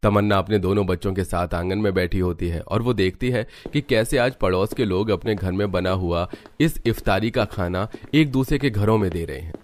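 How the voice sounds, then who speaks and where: A man's voice: on the low side, a little hiss or hum. A man narrating a scripted children's cartoon story.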